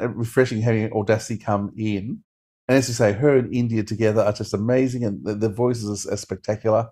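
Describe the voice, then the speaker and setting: A man's voice: on the low side, darkish tone. A middle-aged man chatting enthusiastically as a podcast host, reviewing stories casually on a video call. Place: indoors at home.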